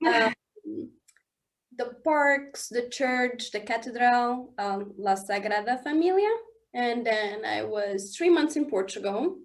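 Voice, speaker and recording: Woman, English, halting delivery, fairly high pitch, guest in a casual studio chat. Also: lively intonation. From southern Germany. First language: Swiss German. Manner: halting, searching for English words, laughs often. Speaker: interview guest. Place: indoor studio under lights.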